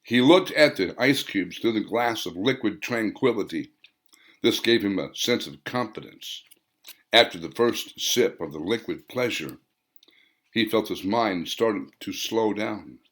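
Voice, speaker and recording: A man's voice: on the low side, animated, a little slow, fairly bright tone. A man reading his own fiction as a dramatic audiobook narration, with emphatic, theatrical phrasing. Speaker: author reading own novel.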